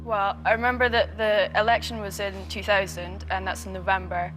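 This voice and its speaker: A woman's voice: mid-range voice, flat delivery, fairly quick, a bit bright, soft background music, rough recording. A woman in her twenties, a quiz show contestant, who chats casually and reasons her answers out aloud with small fillers. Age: 20s.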